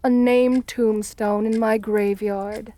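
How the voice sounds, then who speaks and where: A woman playing an assertive, seductive and menacing aristocratic countess in an ASMR roleplay, speaking softly with coaxing, condescending endearments. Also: fairly high pitch, steady tone, takes it slowly. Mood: calm menace.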